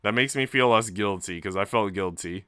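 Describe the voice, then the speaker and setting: Male game streamer, clean smooth tone, dry sound, moving between story reading and informal chat. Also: on the low side, normal speed, pushed and bright, no echo. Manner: informal, slangy when chatting. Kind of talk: reading a visual novel aloud with casual chat.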